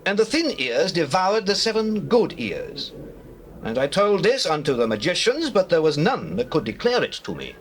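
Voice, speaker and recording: A man's voice: on the high side, huge pitch swings, normal speed. An actor with a British accent playing a troubled, commanding king of Egypt in a declamatory scripture drama. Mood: troubled, intense. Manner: declamatory, theatrical. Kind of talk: dramatized scripture acting in archaic English. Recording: noisy old cassette tape.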